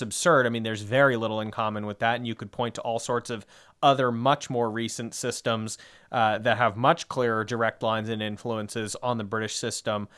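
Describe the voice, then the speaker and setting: A man's voice: medium pitch, a bit bright, smooth. A young man co-hosting a discussion podcast, explaining at length in a rambling way with lots of fillers and asides. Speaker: podcast co-host.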